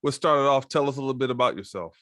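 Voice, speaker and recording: A man hosting a podcast, welcoming and questioning his guest in a relaxed, friendly way. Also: medium pitch, very fast, dry recording, clean studio sound. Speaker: podcast host. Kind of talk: casual interview hosting.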